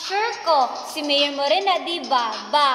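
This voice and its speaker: A woman playing a young, excitable, insistent student who backs a candidate, in an amateur radio drama recorded in an echoey, noisy room. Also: sits high, a little slow, faint music behind. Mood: excited, insistent. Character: young female student, pushy excitable supporter of a mayor. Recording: echoey room with clear background noise.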